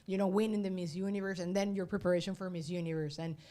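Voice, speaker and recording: A woman's voice: on the low side, fairly even intonation, quick pace, mellow. Woman host with a Spanish accent, native Spanish speaker; chatty, giggly, rambling livestream interviewer. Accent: Venezuelan Spanish.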